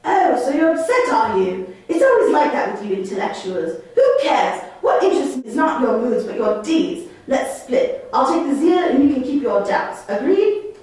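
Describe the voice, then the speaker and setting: Exiled duke and sorcerer, puppet theatre, played by a woman: imperious, theatrical, fairly high voice, heavy room echo. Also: very animated, moderate pace, darkish tone. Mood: scornful, commanding. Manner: theatrical, commanding, declamatory. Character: proud, imperious male exiled duke and magician, a father. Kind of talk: stage puppet-play acting. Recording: echoey room, distant microphone.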